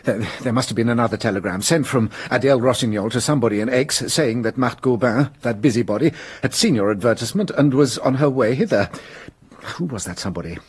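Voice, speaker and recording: A man playing a husky, brooding police inspector. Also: medium pitch, brisk, no room sound. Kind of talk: amateur radio drama acting.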